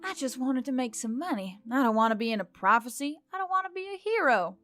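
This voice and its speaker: A woman's voice: high voice, very animated, fast talker, fairly bright tone. A woman voicing a reluctant, exasperated young heroine in a fantasy story, wry, casual and sweary. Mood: weary, reluctant. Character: Emily, reluctant, exasperated, sarcastic human heroine. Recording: background music under the voice.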